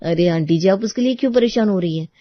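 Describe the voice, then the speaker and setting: A woman's voice: mid-range voice, fast, highs cut off, close to an everyday voice. A woman as a sly, sarcastic young housewife. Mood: mocking. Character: sly, teasing young housewife who mocks neighbours. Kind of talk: Hindi animated family drama dialogue.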